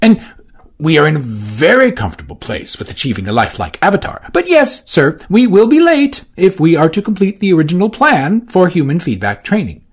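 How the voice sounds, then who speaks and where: A polite, careful business manager, formal and measured, speaking high and lively, as a husky-voiced man puts him on. He picks his words deliberately.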